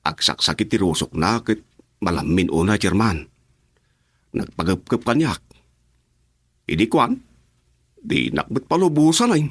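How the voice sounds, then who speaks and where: A man's voice: lowish voice, big pitch swings, measured pace, pauses often, husky, dry recording, studio quality, exaggerated voice. An actor playing a henpecked, sheepish village councilman with an Ilocano accent, hesitant and full of excuses, in a comedy radio drama.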